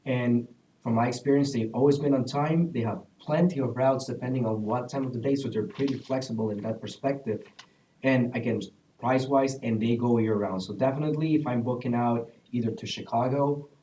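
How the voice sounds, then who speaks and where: A man's voice: mid-range voice, fairly quick, mellow, a little hiss or hum. A young man from El Salvador who is a university student speaks on a panel, giving earnest recommendations with frequent "uh" fillers. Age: young adult. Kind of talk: casual panel answers. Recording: echoey room, distant mic.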